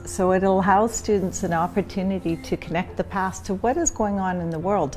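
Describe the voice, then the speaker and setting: A woman's voice: on the low side, dark tone. A woman teacher speaking earnestly and thoughtfully about what her students learn, in a recorded testimonial. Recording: faint music underneath.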